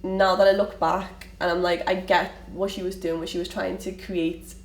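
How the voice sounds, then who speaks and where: A woman's voice: fairly low pitch, level tone, normal speed. A young woman with a Liverpool accent, candidly telling her family story in an interview, often restarting her sentences. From Liverpool, England. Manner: candid, rambling with frequent restarts. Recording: roomy, from a distance, faint ambient noise.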